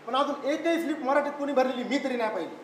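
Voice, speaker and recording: A man's voice: very high-pitched, expressive, fast. A man playing a pragmatic, argumentative elder brother in a live stage play, matter-of-fact and insistent. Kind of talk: live one-act stage play acting. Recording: echoey stage recording, faint noise.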